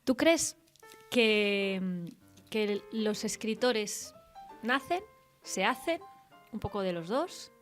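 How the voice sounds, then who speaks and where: A woman from interviews a guest on an informal radio show. Her voice sits at a middle pitch, and she phrases things thoughtfully and conversationally. Some music can be heard with her. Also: expressive, takes it slowly, long pauses.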